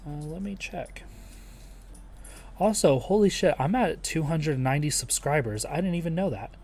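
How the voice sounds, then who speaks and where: A man with a soothing, calm voice chatting casually with livestream viewers in a chill, low-energy, halting way. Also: creaky. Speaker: live streamer.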